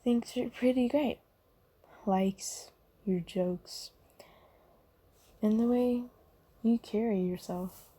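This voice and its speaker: A woman as a breathy, mellow, gentle demon comforter. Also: a little high, takes it slowly, long pauses. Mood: fond, reassuring. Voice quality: soft, breathy, half-whispered. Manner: gentle, hesitant, reassuring. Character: kindly apologetic female sleep paralysis demon, gentle comforter. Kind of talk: ASMR comfort roleplay.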